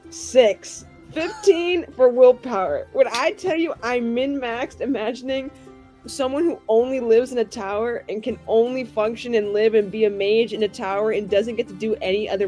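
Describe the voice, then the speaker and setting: A woman in expressive, slangy casual chat about a tabletop game, acting out bits of dialogue as she retells them. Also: fairly high pitch, normal speed. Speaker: tabletop roleplaying game player. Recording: music playing underneath.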